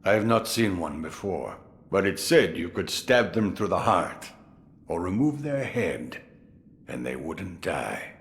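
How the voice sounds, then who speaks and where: Game role: jovial old samurai grandfather, low voice, big swings in intonation, sly and theatrical. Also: very animated, creaky, full character voice. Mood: grave musing. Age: older. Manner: theatrical, amused, drawn-out musing delivery. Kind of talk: dramatic video game voice acting.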